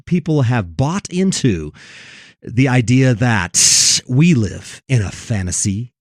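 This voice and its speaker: Man, lively varied intonation, emphatic preachy delivery, cultural commentary, dry sound. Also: medium pitch, slow, no room sound. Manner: emphatic, preachy, repeats phrases for effect. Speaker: host. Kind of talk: religious commentary on film and culture.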